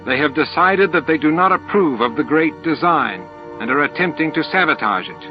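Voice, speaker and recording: A man acting a flustered, high-strung alien underling. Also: lively intonation, moderate pace, lightly acted. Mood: anxious pleading. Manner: gasping, pleading, indignant. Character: flustered, anxious male alien hive underling, easily appalled. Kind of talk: audiobook character acting. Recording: dull lo-fi tape with music.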